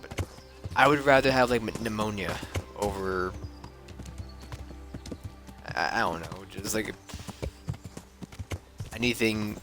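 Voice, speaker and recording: A man's voice: on the low side, takes it slowly, long pauses, music in the background. A man streaming a video game with a stuffy nose from a cold, rambling and thinking aloud. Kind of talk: casual gameplay commentary.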